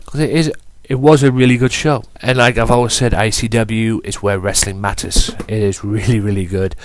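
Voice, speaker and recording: A man's voice: on the low side, steady tone, moderate pace, no echo. A man hosting a solo wrestling podcast, talking emphatically and repeating himself, with a cold and a blocked nose. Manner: emphatic, repetitive, casual swearing. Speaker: podcast host.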